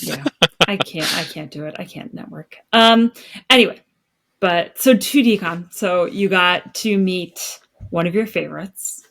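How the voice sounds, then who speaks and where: A woman from the United States co-hosting a podcast in casual chat, talking in a chatty, animated way with quick asides. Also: on the low side, gravelly. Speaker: podcast co-host.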